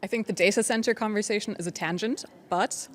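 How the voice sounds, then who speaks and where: A woman panelist making careful, measured arguments in a discussion. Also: mid-range voice.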